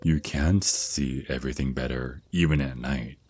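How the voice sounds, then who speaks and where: A man's voice: extremely low pitch, fairly even intonation, normal speed, darkish tone. A man voicing an affectionate, protective vampire naga boyfriend in an intimate ASMR roleplay, mentoring his partner with slightly formal wording and small stammers. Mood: admiring, instructive. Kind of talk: ASMR roleplay, intimate one-sided scripted dialogue.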